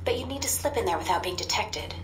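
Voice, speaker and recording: A woman's voice: medium pitch, animated, close to an everyday voice. Woman acting a composed intelligence handler, roomy sound. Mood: measured, wry. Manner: measured, matter-of-fact, dryly teasing. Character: composed, wry female intelligence handler briefing an agent. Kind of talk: video game voice acting. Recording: roomy sound with music behind.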